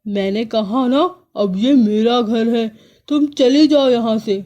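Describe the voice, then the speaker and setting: A woman voicing a greedy, bullying male crow who talks in a loud, drawn-out, gloating way. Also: fairly high pitch, dull audio, close to an everyday voice. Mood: bossy.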